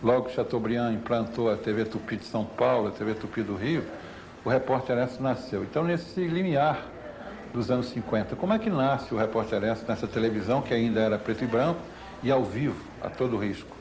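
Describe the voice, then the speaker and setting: A man's voice: medium pitch, a little fast, a little mellow. A Brazilian man giving unscripted explanations, posing rhetorical questions and answering them himself. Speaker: interviewed commentator on media history. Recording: faintly noisy old TV interview.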